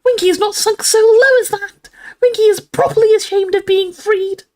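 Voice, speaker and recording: A small female house elf, indignant and ashamed, squeaks and screeches at an extremely high pitch. She is fiercely loyal and speaks of herself by name in broken storybook grammar. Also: moderate pace, a little mellow. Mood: offended, ashamed.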